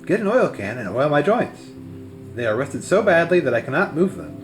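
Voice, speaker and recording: An anxious, earnest man made of tin, from a children's storybook, played by a man reading aloud. The delivery is varied in pitch, with quiet music underneath. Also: sing-song, moderate pace. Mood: pleading. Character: anxious, grateful rusted tin woodman in storybook. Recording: music behind the voice.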